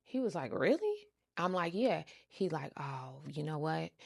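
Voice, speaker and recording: A woman with an American accent telling a story casually, with a sassy, animated, emphatic and slangy delivery. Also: deep voice, a little slow, vocal fry, no room sound, clean studio sound. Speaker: storytime show host. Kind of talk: casual storytelling, acting out quoted conversations.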